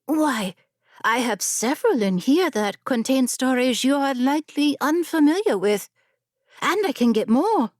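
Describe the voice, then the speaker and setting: A woman voicing a quick-witted female scholar bargaining earnestly and persuasively in a fantasy audiobook. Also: sits high, animated, no room sound, clean studio sound, pitched above the performer's own voice. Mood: eager. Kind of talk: fantasy audiobook character acting.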